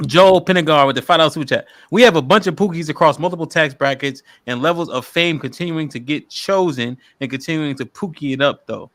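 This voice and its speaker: A man's voice: a little high, brisk, hardly any pauses, a bit bright. A man hosting a live-stream podcast, reading out paid chat messages in loose, slangy talk, laughing often and repeating words for emphasis. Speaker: podcast host reading super chats.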